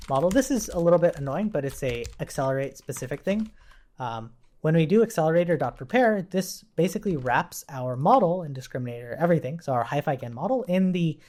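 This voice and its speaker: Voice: mid-range voice, a little mellow. A person giving an informal live-coding tutorial, thinking aloud while typing and often checking in with "right?". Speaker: programming tutorial instructor. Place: indoors at a computer, keyboard typing behind.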